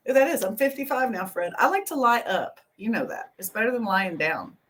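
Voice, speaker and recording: A woman's voice: on the high side, big pitch swings, moderate pace, fairly bright tone. A woman in her fifties from Texas, bantering crudely and joking on a casual podcast. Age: 50s.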